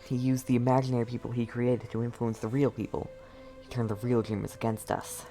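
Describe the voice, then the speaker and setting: A man's voice: mid-range voice, flat delivery, a little fast. A man streaming a video game, commenting casually on its story as it plays. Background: game music.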